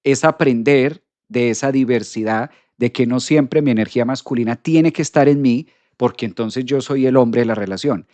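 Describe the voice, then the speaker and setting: A man's voice: mid-range voice, normal speed, hardly any pauses, darkish tone, dry recording. A man hosting an astrology live stream who reads viewer comments aloud. He often repeats phrases and agrees with "exacto". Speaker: astrologer host.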